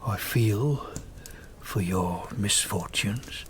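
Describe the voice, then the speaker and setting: An actor playing a gentle old blind man in a gothic audio drama, speaking kindly and reassuringly. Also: fairly low pitch, extremely slow, background noise. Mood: gentle, sincere.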